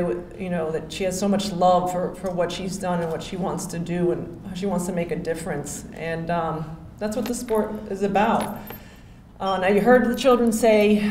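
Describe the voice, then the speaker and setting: A woman's voice: mid-range voice, a little mellow. A woman in her forties from Miami giving a hall of fame acceptance speech at a podium microphone, chatty and full of thank-yous and restarts. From Miami, Florida. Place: hotel ballroom, on stage at a podium. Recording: echoey ballroom with faint hum.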